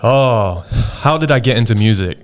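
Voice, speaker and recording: A middle-aged man from Indonesia, a rapper telling stories in a relaxed podcast chat, with lots of fillers and laughs. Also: medium pitch, moderate pace. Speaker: guest rapper. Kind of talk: casual podcast interview.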